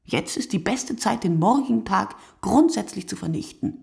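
A woman playing a reflective narrator in a literary radio play, with a husky voice and deliberate, fragmented, poetic phrasing that dwells on single words. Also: medium pitch, lively intonation, a little mellow, no room sound, clean studio sound, full character voice. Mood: emphatic, sardonic. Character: reflective woman narrator musing poetically on night-time drinkers.

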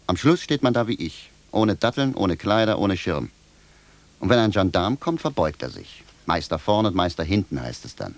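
An actor playing a poor, aggrieved cobbler in a radio drama, gruff, grumbling and sardonic. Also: male voice, low-pitched, sing-song, normal speed. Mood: sarcastic, bitter. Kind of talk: German radio drama acting.

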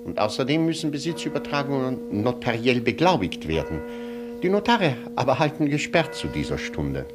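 A pedantic male police sergeant from a radio play, played by an actor. His medium-pitched voice rises and falls widely as he recites stiff legal phrases with officious precision. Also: sing-song, moderate pace, music in the background, lightly acted. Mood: stern, pedantic.

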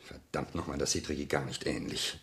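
A man's voice: very deep voice, fairly quick, heavy background noise. An actor playing a stern, troubled father and husband, insistent and authoritative, in radio drama. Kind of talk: German radio crime drama acting. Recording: 1970s studio radio play recording.

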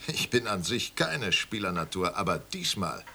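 A man's voice: sits low, sing-song, normal speed, creaky, sharp tone, slight background noise, exaggerated voice. An actor playing a shrewd, cynical older wine auctioneer in a radio play, amused and knowing as he talks. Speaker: auction house owner.

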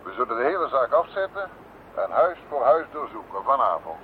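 A man voices a stubborn police commissioner in an old radio play, curt and commanding, his voice swinging in pitch. The sound is narrow and tinny, with faint hiss. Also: sing-song, fairly quick, lightly acted. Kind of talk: radio drama acting.

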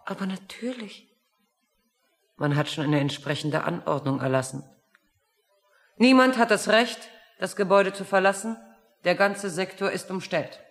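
A woman's voice: medium pitch, moderate pace, long pauses, long reverb tail, natural voice. An actress playing a composed, officious woman in a radio play, matter-of-fact and faintly sardonic. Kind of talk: German radio play acting.

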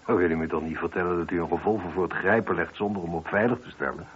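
An actor playing a probing, sceptical police inspector in a Dutch radio play, questioning in a measured, dryly insistent way. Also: male voice, sits low, fairly bright tone, a little hiss or hum. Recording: muffled, band-limited old radio recording.

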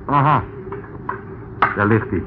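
An actor playing a masked male intruder who gives calm, mocking commands. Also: on the low side, takes it slowly. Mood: coolly menacing. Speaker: villain. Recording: tinny old radio broadcast, faint hiss.

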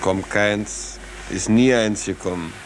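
An actor playing a cynical, fatalistic seasoned sailor in a 1940s German radio drama, laconic and resigned. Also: male voice, lowish voice, slow pace, noisy background. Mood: indifferent. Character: cynical, fatalistic seasoned stoker on a smuggling ship.

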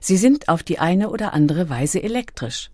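A woman's voice: on the low side, lively intonation, moderate pace, a little mellow, no room sound, clean studio sound. A woman reading scripted documentary narration as the narrator.

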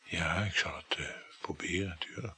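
A man's voice: low voice, fairly even intonation, moderate pace, pushed and bright, slight background noise, full character voice. A man acting a clinging, evasive lover of a married woman in a naturalistic radio play, speaking in a hesitant, questioning way. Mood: evasive, reluctant.

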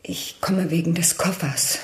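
An actress playing an anxious, loyal woman reluctantly confessing to police in a radio crime drama, defensive and husky. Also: lowish voice, unhurried, a little hiss or hum, natural voice. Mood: guarded. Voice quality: husky, creaky. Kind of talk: German radio drama acting.

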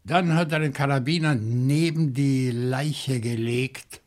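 An actor playing a calm, probing questioner in a German radio crime drama, speaking deliberately and insistently. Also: male voice, medium pitch, natural voice. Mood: grave, deliberate. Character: calm, probing man questioning a suspect, Monsieur Fougère.

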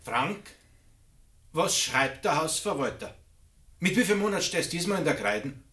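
An actor playing a familiar, nagging café waiter in a radio play. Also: male voice, a little high, big pitch swings, normal speed, pushed and bright, husky, full character voice. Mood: dryly nagging.

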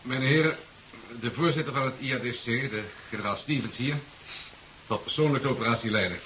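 A man acting as a composed naval vice-admiral in a seventies radio drama. He briefs formally and in measured, official tones, in a mid-range voice. The recording is slightly hissy and dull. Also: medium pitch, a bit bright, close to an everyday voice. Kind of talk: 1970s Dutch radio play acting.

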